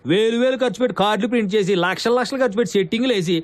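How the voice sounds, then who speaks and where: A man's voice: high voice, rapid-fire, lightly acted. A man playing a garrulous, fussy, grumbling wedding guest in a film comedy. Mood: indignant. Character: nosy, chattering, grumbling wedding guest from groom's side.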